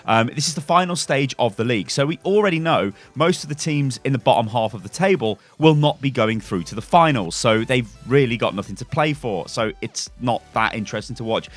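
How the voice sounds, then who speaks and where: A man presents gaming news in a casual, chatty style. He is excitable and often throws rhetorical asides to his viewers. His medium-pitched voice sits over faint background music. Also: a little fast, few pauses.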